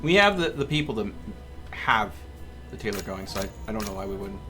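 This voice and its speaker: A man's voice: fairly low pitch, big pitch swings, a little fast. Man streaming live game commentary over music. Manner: talks to chat and mutters to himself.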